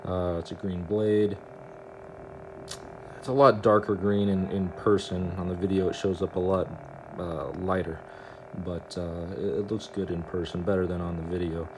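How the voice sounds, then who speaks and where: A man hosting a casual, unscripted demo video, speaking in a halting way and repeating himself. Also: low-pitched, level tone. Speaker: host of a hobby gadget demonstration video. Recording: noticeable background noise.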